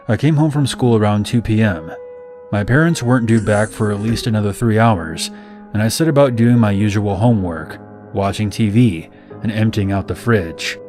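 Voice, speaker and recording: A man's voice: fairly low pitch, darkish tone. Male horror story narrator over music. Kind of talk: scripted narration of a first-person horror story.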